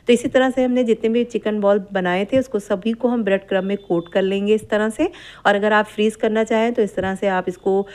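A middle-aged woman talks viewers through a home recipe in a patient voice-over. She explains every step in a steady, instructive way and goes back over amounts. Her pitch sits in the ordinary middle for a woman. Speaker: cooking channel host. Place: home kitchen.

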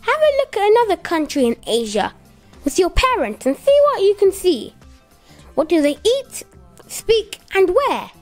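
Eager high-pitched child puppet. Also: female voice, big pitch swings, normal speed, a little mellow, soft background music. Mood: eager, informative. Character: little child puppet Elle, eager young geography helper.

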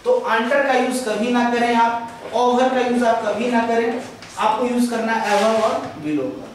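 Teacher in an echoey classroom. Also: male voice, very high voice, recorded from a distance, a little hiss or hum. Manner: explains step by step, drawing out example sentences slowly; mixes English phrases into Hindi explanations. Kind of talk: classroom grammar lecture at a chalkboard. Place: classroom with a chalkboard. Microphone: far camera. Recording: echoey room recorded from afar.

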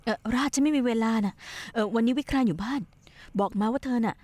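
A woman's voice: fairly high pitch, quick pace, a little mellow, no room sound, close to an everyday voice. A woman dubbing a scheming young wife in a television drama, who talks in a coaxing, pushy and manipulative way. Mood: insistent. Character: scheming young wife plotting to run away. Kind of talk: dubbed television drama acting.

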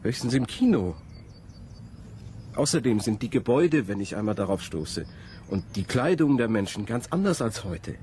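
An actor playing a troubled, intense young male student in a radio drama, speaking in a brooding, halting way. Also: medium pitch, big pitch swings, dark tone, a little hiss or hum, natural voice. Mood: haunted, confiding. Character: troubled young male student haunted by his ancestor's memories. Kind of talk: German radio drama dialogue.

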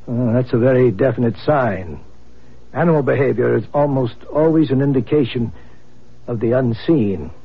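An actor playing an earnest, confident male paranormal investigator in a vintage radio drama, with a husky voice. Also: medium pitch, normal speed. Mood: earnest, intrigued. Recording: muffled old radio broadcast.

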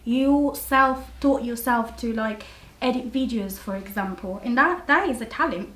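High-voiced giggly young woman. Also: fairly bright tone. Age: young adult. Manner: giggly, chatty. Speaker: sister of the host, guest. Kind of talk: casual sibling banter. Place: bedroom, indoors. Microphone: camera on a tripod, a few feet away. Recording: echoey bedroom, faint hiss.

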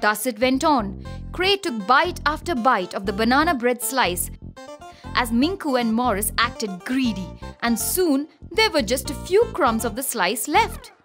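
A woman narrating a scripted children's story in an expressive, theatrical manner. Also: a little high, a little slow. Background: children's music.

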